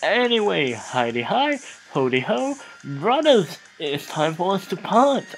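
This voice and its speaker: Seeking a mid-pitched let's play narrator: theatrical, animated intonation, frequent stumbles and repeated phrases. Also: faint music behind, rough recording. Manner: halting, stumbling over words and repeating phrases. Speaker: host of a gaming let's play. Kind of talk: dramatic reading of game text.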